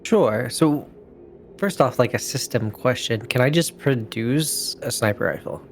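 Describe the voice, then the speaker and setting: Guy playing a tabletop game, creaky voice, casual talk, faint noise behind. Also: medium pitch, a little slow. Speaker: tabletop role-playing player.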